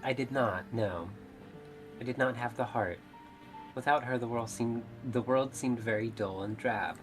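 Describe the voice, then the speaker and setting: A woman voicing a lonely, gentle mermaid in a visual novel, speaking in formal, earnest phrases. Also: a bass voice, unhurried. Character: lonely gentle mermaid, grateful and wistful, fond of humans. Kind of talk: visual novel character voice acting. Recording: music playing behind the voice. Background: music.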